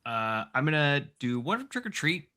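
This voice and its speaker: A man's voice: fairly high pitch, very animated, normal speed, bright, forceful tone. A man co-hosting a film podcast, chatting casually and telling stories. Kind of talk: casual chat.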